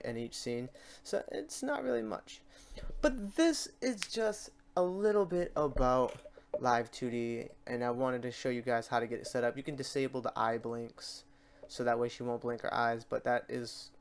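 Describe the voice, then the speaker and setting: Male tutorial host, medium pitch, informal and off the cuff, muttering his way through on-screen steps. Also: a little hiss or hum. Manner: informal, talks to himself while clicking through steps. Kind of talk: casual unscripted screen-recorded tutorial narration.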